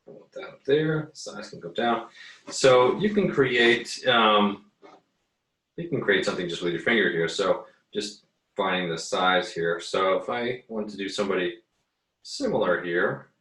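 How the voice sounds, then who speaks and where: Low-voiced man teaching in an echoey room. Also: fairly bright tone. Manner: halting, thinking aloud while working. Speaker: art teacher giving a demonstration. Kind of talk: casual, unscripted software tutorial. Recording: echoey, roomy sound.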